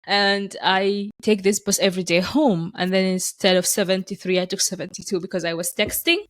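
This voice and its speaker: A woman hosting a podcast, telling stories in casual conversation with lively restarts. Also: medium pitch, fairly quick. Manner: animated storyteller, acts out quoted voices; frequent restarts and fillers. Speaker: podcast host.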